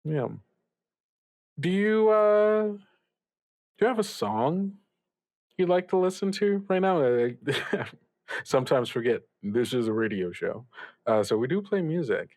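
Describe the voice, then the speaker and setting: A man's voice: a little high, big pitch swings, a little slow, pauses often, a little mellow. A man playing a young student radio host in an improvised audio drama, casual and stammering as he hosts. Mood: awkward, friendly. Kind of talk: improvised actual-play radio show drama.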